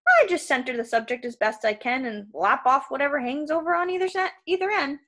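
Storybook villain: giant male salesman, jovial, fussy, menacing, very lively pitch , female performer. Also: fairly quick. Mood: jovial menace. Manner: folksy sales patter, casually threatening.

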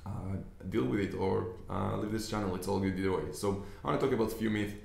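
A young Israeli man talks to camera from an office desk, his voice low and fairly level in tone. He explains his points earnestly and often restarts his sentences. The room gives his voice a clear echo. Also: steady tone, fast, a little mellow. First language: Hebrew. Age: young adult. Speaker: business investor. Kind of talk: casual talk to camera. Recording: echoey room.

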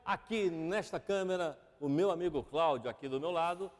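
A man, hosting a TV interview in a studio, lively and chatty, often cutting in. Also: on the high side, normal speed. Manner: lively, chatty, talks over the guest. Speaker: TV show host. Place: TV studio set. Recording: long studio reverb.

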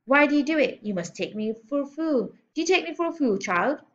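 A woman's voice: high-pitched, expressive, brisk, highs cut off, pitched above the performer's own voice. A woman voicing a domineering, contemptuous headmistress who barks and sneers in a children's storybook reading. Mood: angry, accusing. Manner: barking, scolding, sneering insults. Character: domineering, bullying adult headmistress, contemptuous and loud. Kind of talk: children's storybook read-aloud.

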